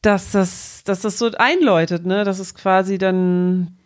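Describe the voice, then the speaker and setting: A woman's voice: mid-range voice, steady tone, unhurried. A woman, explaining things in a casual, colloquial way as a co-host. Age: 40s. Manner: informal, colloquial with some English slang.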